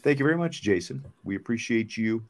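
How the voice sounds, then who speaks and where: A man's voice: mid-range voice, animated, brisk. A man hosting a sports podcast, chatting casually and thinking aloud as he answers viewer comments.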